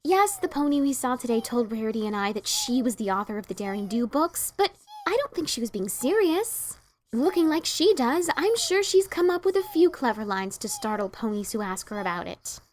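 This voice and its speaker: A woman performs a sensible young bookish mare from a cartoon story. She lectures in a dry, scolding tone with a fairly high voice. Faint noise sits behind it. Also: big pitch swings, fairly quick. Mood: exasperated, lecturing. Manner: dry, scolding, matter-of-fact. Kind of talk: dramatic reading of a cartoon fan story.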